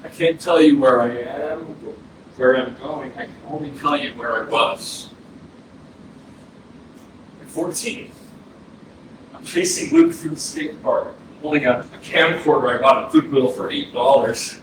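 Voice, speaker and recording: A man playing the wistful ghost of a fourteen-year-old boy in a staged reading, halting and poetic with pauses. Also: mid-range voice, very animated. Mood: solemn, then vivid recollection. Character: dead fourteen-year-old boy's ghost, dreamy, wistful would-be filmmaker. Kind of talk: staged reading of a play, monologue. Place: indoor arts center stage, recorded from afar. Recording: very echoey, distant hall, clear noise, processed.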